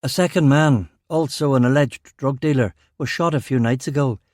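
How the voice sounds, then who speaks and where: This is a man playing a police detective in a crime audiobook. He is mid-pitched, rattled and a little defensive, respectful to his bosses, in a very dry recording. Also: normal speed, darkish tone, dry recording, natural voice. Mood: deferential, factual. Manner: defensive, deferential. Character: male detective narrator speaking, rattled, sweary, deferential to superiors. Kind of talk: audiobook character dialogue.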